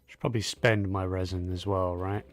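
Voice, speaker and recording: A man casually commenting on his own gameplay, low-key and muttering to himself as he plays. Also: steady tone, moderate pace. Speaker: gaming channel host.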